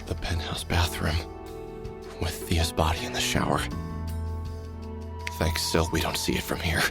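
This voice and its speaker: A man's voice: extremely low pitch, flat delivery, a little slow, vocal fry, full character voice. An actor playing a weary, cynical middle-aged man in a sci-fi visual novel, dry and sardonic, muttering observations to himself. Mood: uneasy. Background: music.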